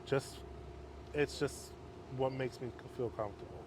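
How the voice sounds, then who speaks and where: Male counselor guest, measured and flat-toned, casual talk recorded outside near traffic. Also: medium pitch. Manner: calm, measured, self-described monotone. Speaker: interview guest, halfway home counselor. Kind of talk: casual conversation. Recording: outdoor street noise.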